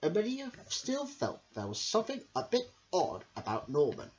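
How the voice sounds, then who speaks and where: A woman's voice: fairly low pitch, huge pitch swings, moderate pace, darkish tone, lo-fi sound. A woman hosting a children's storytime, reading expressively and addressing young listeners directly. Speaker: storyteller and host.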